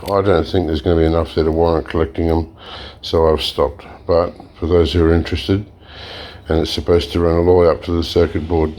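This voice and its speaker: A man hosting a recycling channel, talking casually while taking apart electronics, slightly hoarse and pausing with fillers. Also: deep voice. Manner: relaxed, explains while working, frequent fillers and restarts. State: slightly hoarse, clearing throat. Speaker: e-waste recycling channel host. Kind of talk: casual hands-on demonstration.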